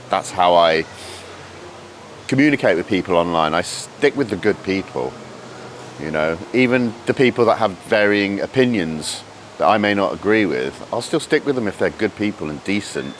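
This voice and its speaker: A man narrating a casual vlog to camera, his voice croaky and hoarse from days of talking, in a laid-back, informal way. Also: deep voice. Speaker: vlogger and convention guest speaker. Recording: clear background noise.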